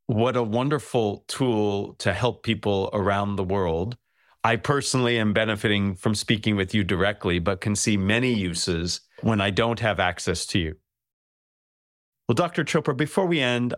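A man's voice: fairly low pitch, normal speed, dry recording, clean studio sound. A man hosting a podcast, asking his guest questions in an appreciative, inviting way. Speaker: podcast host. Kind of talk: podcast interview.

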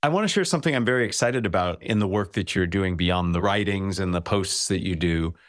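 A male podcast host with a somewhat low voice asks questions in an appreciative, inviting manner. The recording is dry, with little room sound. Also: brisk, dry recording. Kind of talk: podcast interview.

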